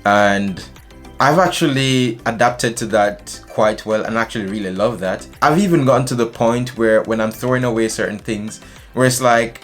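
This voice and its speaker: A young man from Jamaica chatting casually to camera in a small indoor room, animated and gesturing as he talks. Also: lowish voice. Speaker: vlogger.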